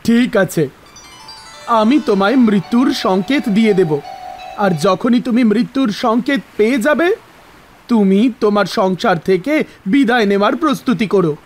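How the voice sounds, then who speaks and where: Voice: medium pitch, expressive, a little slow, close to an everyday voice. Actor playing male death god, stern, authoritative, formal scolding, mythological cartoon, music behind. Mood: solemn. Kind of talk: animated mythological story dialogue.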